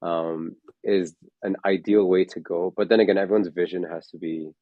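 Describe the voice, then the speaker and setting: A man's voice: deep voice, steady tone, normal speed, no echo, lo-fi sound. A man in his forties, a fitness coach, talking in a casual chat, rambling with lots of fillers and restarts. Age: 40s.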